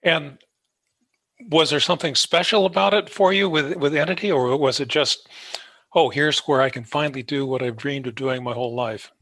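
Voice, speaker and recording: A man with a fairly high-pitched voice hosts a casual interview. He talks conversationally as he puts questions to his guest. The recording is very dry, with no room sound. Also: normal speed, vocal fry, sharp tone, dry recording. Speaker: podcast host, interviewer.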